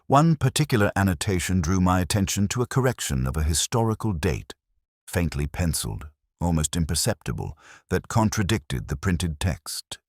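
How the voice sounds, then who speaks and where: A man playing a pensive, observant detective who narrates his case in a measured, literary, contemplative way. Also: extremely low pitch, no room sound, studio quality, full character voice. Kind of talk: scripted first-person detective narration.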